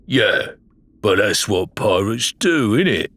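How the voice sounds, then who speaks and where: Man doing a cocky, rough-spoken pirate grumbler. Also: on the low side, sing-song, slow, fairly bright tone, exaggerated voice. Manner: colloquial, blunt, slangy.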